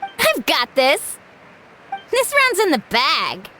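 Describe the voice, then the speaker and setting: Peppy anime game sporty girl, squeaky super high, all over the place, exuberant. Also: huge pitch swings, takes it slowly, sharp tone, a little hiss or hum, full character voice. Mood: confident, eager. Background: game music.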